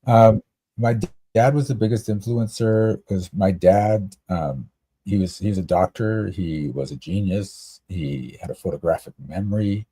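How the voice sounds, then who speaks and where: A man's voice: low voice, unhurried, mellow, breathy edge. A man from Canada telling personal stories casually as a podcast guest. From Canada, Toronto area. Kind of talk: casual chat, personal storytelling.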